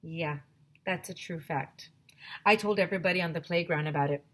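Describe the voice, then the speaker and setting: A woman teacher reading a children's chapter book aloud in an expressive storytelling style. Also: lowish voice, fairly quick.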